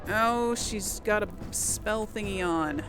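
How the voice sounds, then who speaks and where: A woman's voice: medium pitch, slow pace, low-quality recording. A woman giving casual gameplay commentary as a let's play host, musing aloud to herself. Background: video game music.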